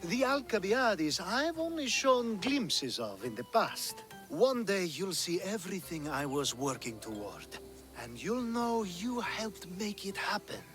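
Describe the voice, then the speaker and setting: A flirtatious, ambitious Athenian schemer in a video game. He has a high voice that swoops theatrically, slow and sly, with faint music behind. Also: fairly bright tone, heavily acted. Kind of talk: video game cutscene acting.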